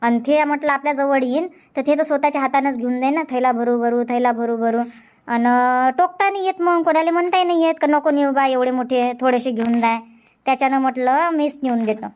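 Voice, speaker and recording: Woman doing busy cartoon village aunty, high voice, varhadi marathi accent, rambles on and repeats herself. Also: fairly even intonation, fairly quick, a little mellow, clean tone, close to an everyday voice. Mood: explaining. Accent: Varhadi Marathi from Vidarbha. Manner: rambling, folksy, repetitive. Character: busy, chatty village auntie in a comedy cartoon. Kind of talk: cartoon comedy dubbing.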